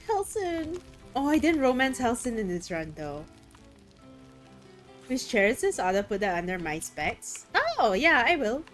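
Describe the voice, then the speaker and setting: A woman streaming a video game and chatting casually, excitable and swearing freely, her throat a little rough today. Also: fairly high pitch, unhurried, long pauses, soft background music. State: throat a bit rough, voice deeper than usual. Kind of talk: casual chat while gaming.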